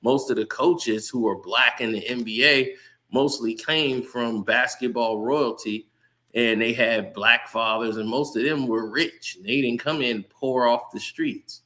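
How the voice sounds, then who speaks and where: A man's voice: mid-range voice, a little slow, pushed and bright, rough recording. A man hosting a casual sports commentary channel, ranting heatedly and talking straight to his viewers.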